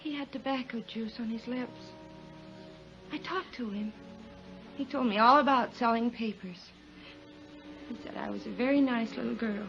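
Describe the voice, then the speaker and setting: A woman's voice: on the high side, normal speed, creaky, long pauses, music in the background. An actress playing an anguished, despairing young woman threatening to jump in a 1950s radio drama. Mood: subdued. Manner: anguished, weary, self-pitying. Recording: muffled, noisy 1950s radio recording.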